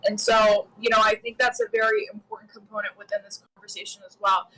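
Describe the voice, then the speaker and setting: Bright, animated young American woman. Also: medium pitch, fast, low-quality recording. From United States. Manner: animated, with frequent "you know" and "right?". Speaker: guest commentator. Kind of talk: casual political discussion.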